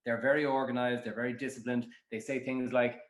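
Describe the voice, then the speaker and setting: A man's voice: medium pitch, level tone, brisk, reverberant. An Irish man talking bluntly and frankly as a guest on a casual podcast, rambling with many restarts. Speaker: matchmaker guest.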